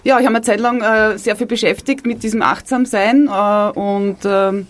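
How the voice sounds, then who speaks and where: A woman songwriter who is a guest on a radio show, speaking reflectively with filler pauses. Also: medium pitch, steady tone. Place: radio studio.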